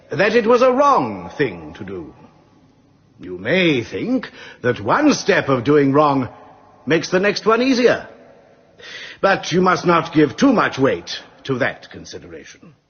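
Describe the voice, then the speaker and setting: An actor playing a stern, pompous judge in a radio drama, addressing a jury with a husky voice and deliberate delivery. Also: male voice, on the high side, huge pitch swings, a bit bright, lo-fi sound, exaggerated voice. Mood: moralising. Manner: deliberate, magisterial, moralising. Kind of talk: British radio drama acting.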